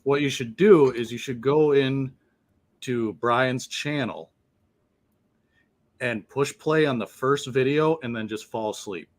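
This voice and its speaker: A man's voice: mid-range voice, takes it slowly. A man with a mid-range voice hosts a casual livestream over a laptop connection. He is blunt and easygoing, laughs a lot and swears without a second thought. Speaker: livestream host. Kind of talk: casual chat. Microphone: laptop on a remote call.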